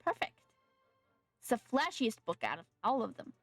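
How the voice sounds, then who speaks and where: Girl streamer, highish lively voice, playful muttering while gaming, music behind. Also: normal speed. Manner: playful muttering to herself, expressive. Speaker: game streamer. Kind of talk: casual live gameplay reaction.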